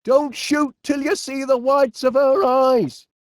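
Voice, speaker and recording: An actor playing a fussy, cheerful, absent-minded middle-aged inventor with a Northern English accent, speaking in a bumbling, polite way for an animated comedy game. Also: male voice, very high voice, takes it slowly, no room sound, studio quality. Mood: flustered urging. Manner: bumbling, hesitant, polite, chatty.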